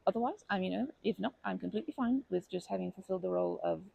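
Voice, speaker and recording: Woman acting a creaky, husky kindly grandma. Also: mid-range voice, very fast, rough recording. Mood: soothing reassurance. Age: older. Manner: gentle, hesitant, soothing, uses pet names. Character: kindly, chatty, protective grandma comforting a crying stranger. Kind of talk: ASMR comfort roleplay.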